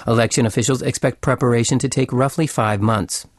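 A man's voice: mid-range voice, fairly even intonation, fairly quick, no room sound, studio quality. A man reading a scripted radio news bulletin as a news reader.

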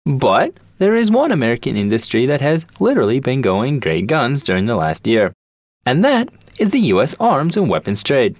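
Male news reporter with lively intonation. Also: medium pitch, moderate pace, dry recording, clean studio sound.

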